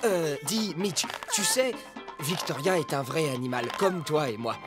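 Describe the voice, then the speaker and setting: Voice actor doing a nervous-polite cartoon animal, halting, pitch up and down, music behind. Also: animated, close to an everyday voice. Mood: tentative. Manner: halting, tentative. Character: hesitant, tactful talking cartoon animal.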